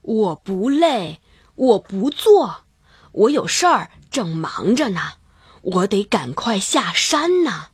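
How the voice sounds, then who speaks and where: A woman voicing a kindly, doting old grandmother in a children's story, with a husky voice. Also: mid-range voice, big pitch swings, slow, a little hiss or hum, pitched below the performer's own voice. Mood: hurried, refusing. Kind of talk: children's storybook character acting.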